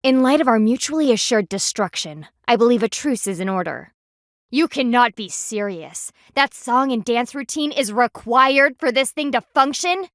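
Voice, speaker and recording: A woman's voice: fairly high pitch, lively intonation, normal speed, no room sound, studio quality. A woman voicing a stern, proud panda warrior in a video game, speaking formally and with haughty, precise diction. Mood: formal, serious. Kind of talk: scripted video game voice acting.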